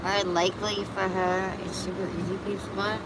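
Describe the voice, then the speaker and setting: A woman's voice: medium pitch, level tone, moderate pace. Chatty woman streaming amid traffic. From Buffalo, New York. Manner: chatty, rambling, reacts to chat comments. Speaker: livestream host. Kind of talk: casual live chat answering viewers.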